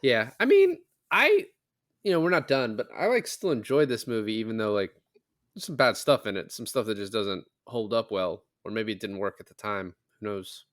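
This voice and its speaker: A man's voice: fairly low pitch, big pitch swings, normal speed, no room sound, clean studio sound. A man talking casually about films as a podcast co-host, explaining things in a conversational way. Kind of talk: casual film discussion.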